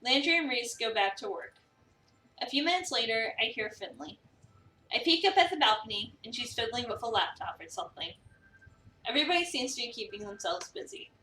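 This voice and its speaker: A woman's voice: on the high side, expressive, a little fast, pushed and bright, slight background noise. A woman streamer reading a visual novel aloud and chatting casually with her co-host. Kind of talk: dramatic reading of game narration mixed with casual chat. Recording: echoey room, distant mic, game music behind.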